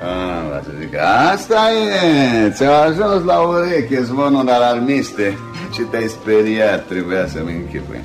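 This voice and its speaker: An actor playing a pompous, self-assured English gentleman in a Romanian radio play, with music behind him. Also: mid-range voice, sing-song, few pauses, close to an everyday voice. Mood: indignant, boastful. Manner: pompous, brushing off concerns. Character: self-assured English gentleman, dismissive colonial host and suitor. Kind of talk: Romanian radio theatre acting.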